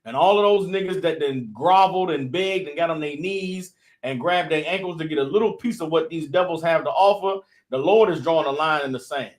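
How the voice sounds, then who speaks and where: A man's voice: fairly high pitch, fairly quick, hardly any pauses. A man giving loose, impassioned religious commentary, full of "you know what I'm saying" as his intensity builds. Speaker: member of the group adding commentary.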